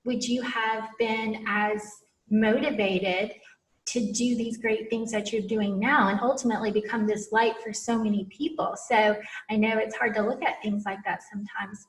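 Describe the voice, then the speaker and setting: A woman's voice: a little high, echoey room, recorded from a distance, low-quality recording. A woman hosting a podcast interview, effusive and encouraging toward her guest. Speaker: podcast host.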